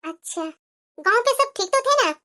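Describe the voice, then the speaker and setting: A woman's voice: very high voice, expressive, moderate pace, pushed and bright, full character voice. A woman playing a hurt, dutiful young housewife and mother in a moral-story cartoon, plaintive and questioning. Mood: concerned.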